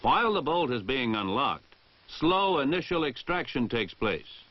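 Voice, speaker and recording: A man's voice: medium pitch, sing-song. American man narrating, dull vintage film sound. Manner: formal, precise, measured enunciation. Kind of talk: scripted instructional narration, 1960 military training film.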